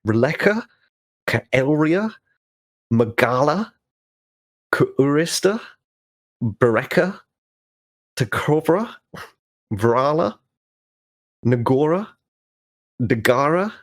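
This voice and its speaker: A man's voice: on the high side, big pitch swings, extremely slow, long pauses. A man talking casually as a podcast player, bouncing ideas around in conversation. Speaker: tabletop role-play podcast player. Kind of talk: casual brainstorming chat.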